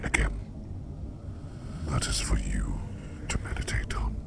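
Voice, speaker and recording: A man playing a curious, enigmatic sleep demon in an ASMR roleplay, whispering slowly in formal, musing phrases with a gravelly creak. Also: fairly even intonation, full character voice. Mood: calm, enigmatic. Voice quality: breathy whisper over very deep gravelly creak.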